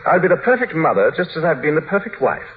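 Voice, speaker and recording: An actor in an old radio drama voicing a cruel, mocking wife in a taunting, sardonic way, on a muffled vintage radio recording. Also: male voice, fairly high pitch, very animated, fast talker, a little hiss or hum, pitched above the performer's own voice. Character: mocking, cruel wife quoted by her husband.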